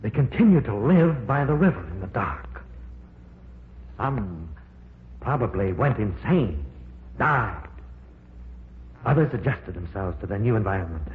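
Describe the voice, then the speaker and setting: A man playing a calm, theorizing professor in an old radio drama, speaking in a measured, explanatory way. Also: on the low side, slight background noise. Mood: explaining. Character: older male professor, calm, theorizing, steady. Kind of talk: 1950s radio drama acting. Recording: tinny lo-fi old radio broadcast.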